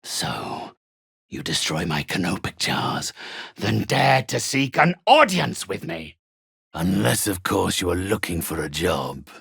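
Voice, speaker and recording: Ancient undead necromancer for a fantasy game: very husky, gravelly, pompous, mercenary and menacing. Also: male voice, deep voice, big pitch swings, fairly bright tone, no room sound, clean studio sound, full character voice. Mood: impatient, menacing, then suave, mercenary. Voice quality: raspy, hoarse. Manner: pompous, formal, deliberate. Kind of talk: theatrical video game character acting.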